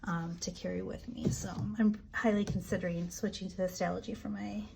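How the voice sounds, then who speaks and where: A woman with a raspy, allergy-hoarse voice chatting casually as she decorates her planner, relaxed and full of ums. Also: medium pitch, noisy background. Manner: slow, relaxed, chatty with frequent ums and asides. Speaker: planner vlogger. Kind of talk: casual plan-with-me narration.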